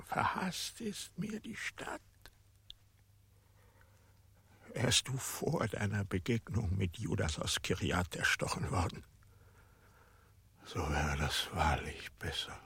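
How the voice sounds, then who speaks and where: Deep, husky, commanding Roman governor. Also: male voice, animated, no room sound, exaggerated voice. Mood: grim, weary. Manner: commanding, deliberate, dropping to harsh whispers and rising to shouts. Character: stern, tormented Roman procurator, imperious and cynical. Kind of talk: literary radio play acting.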